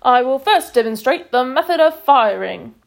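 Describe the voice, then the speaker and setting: A woman voicing a gruff, working-class army corporal with a loud drill-sergeant manner and a cockney accent. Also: sits high, expressive, moderate pace. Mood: brisk. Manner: barking, clipped, working-class.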